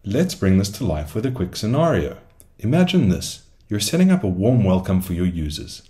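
A man with a low voice delivers a scripted software tutorial. His pitch moves in lively swings, and his delivery is upbeat and salesy, like a presenter walking viewers through a product. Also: normal speed. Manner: upbeat, salesy phrasing with rhetorical questions. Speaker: solution engineer presenting a product demo.

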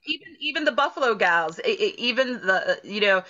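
Middle aged lady chatting on a zoom panel, bright voice, kinda lo-fi audio. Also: medium pitch, expressive, fairly quick. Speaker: theater production crew member. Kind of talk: casual panel talk on a video call. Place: indoors, on a video call. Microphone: computer mic on a video call. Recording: dull video-call audio.

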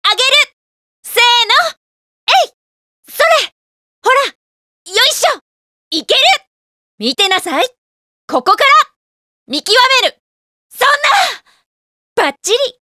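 A woman's voice: extremely high pitch, slow pace, long pauses, no echo, studio quality, heavily acted. A woman playing a spirited, determined young female martial artist in a video game, calling out punchy battle lines. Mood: fierce, determined. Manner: energetic, punchy shouts and short battle cries.

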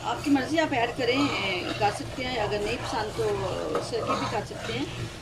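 A woman hosting a home cooking tutorial in her kitchen, talking through each step slowly with frequent hesitations. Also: fairly high pitch, very noisy. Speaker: cooking channel host.

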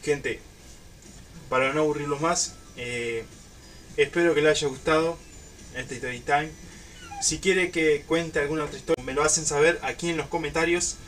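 A young man with a Uruguayan accent, telling a story casually to camera in an informal, halting way. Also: on the high side, a bit bright, far from the mic, faint music behind. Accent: Uruguayan Spanish. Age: young adult. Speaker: YouTube storyteller. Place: small room at home.

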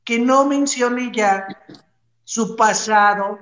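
A woman's voice: fairly high pitch, slow pace, fairly bright tone. A middle-aged Mexican woman giving blunt, emphatic opinions as an entertainment show panelist, swearing casually. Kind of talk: opinionated celebrity commentary. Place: bright room at home. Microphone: video call, device at arm's length. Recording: roomy, slightly dull video call audio.

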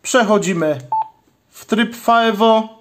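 Man, fairly high-pitched voice, methodical, explanatory, step-by-step demonstration, channel host. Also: slow pace, noisy background. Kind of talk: step-by-step hands-on tutorial.

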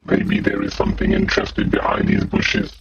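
A man voicing a slow, guttural demon who calmly bosses others about while commentating on a video game, through a deep voice filter. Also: heavily acted. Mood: calm, musing. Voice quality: guttural, growling, gravelly. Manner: slow, drawn-out, deliberate short phrases. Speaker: gaming channel host. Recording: muffled lo-fi audio, faint noise.

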